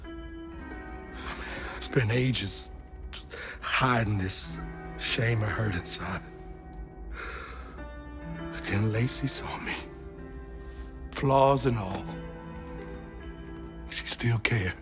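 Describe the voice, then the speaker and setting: A man playing a guilt-ridden, gentle but wounded character in a video game, with a Southern US accent and halting, confessional delivery. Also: lowish voice, expressive, heavily acted. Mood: pained, ashamed. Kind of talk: naturalistic game drama acting. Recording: music behind the dialogue.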